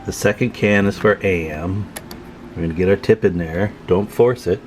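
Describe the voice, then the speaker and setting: A man with a low voice talks through a repair job step by step. His delivery is informal and folksy, like someone explaining while his hands are busy. Faint music sits behind him. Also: normal speed, a little mellow. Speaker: radio repair tutorial host. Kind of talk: casual hands-on tutorial narration.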